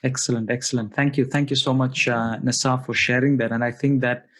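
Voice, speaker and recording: A man's voice: mid-range voice, flat delivery, brisk. A man hosting an interview, polite and thanking his guest often. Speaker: interviewer, host. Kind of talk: casual interview.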